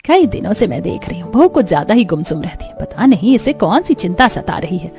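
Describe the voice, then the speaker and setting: A woman voicing a boastful, motherly middle-aged mother-in-law in an animated family story. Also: medium pitch, a little fast, over music, voice pushed down. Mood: concerned. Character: proud middle-aged mother-in-law, fond of her son's wife.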